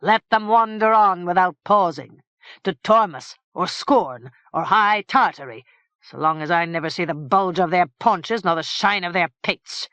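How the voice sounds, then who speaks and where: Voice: medium pitch, a little slow, sharp tone, no echo, voice pushed up. An audiobook narrator voicing a harsh, menacing middle-aged king who speaks in cold, curt threats.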